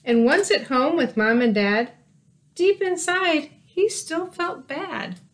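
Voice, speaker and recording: High-voiced woman reading a children's story, unhurried. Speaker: storybook reader. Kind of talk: children's read-aloud storytelling. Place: small room indoors by windows.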